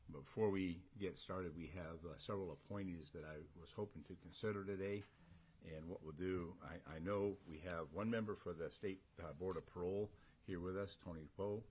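An American man chairing a government committee meeting, speaking informally in a halting, folksy and courteous way. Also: deep voice, steady tone, darkish tone. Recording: noticeable background room noise.

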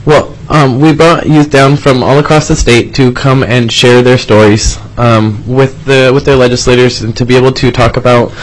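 A man's voice: medium pitch, fairly even intonation, normal speed, hardly any pauses, low-quality recording. A man from Alaska who supports a youth program, speaking at a committee hearing in a rambling way with many restarts. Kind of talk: testimony. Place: legislative committee room.

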